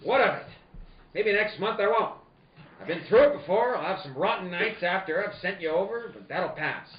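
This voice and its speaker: A man's voice: high-pitched, animated, normal speed. A man playing a tough, cynical private detective in a film-noir radio play, terse and sardonic. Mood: bitter, torn. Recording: roomy, distant, dull, faintly noisy recording.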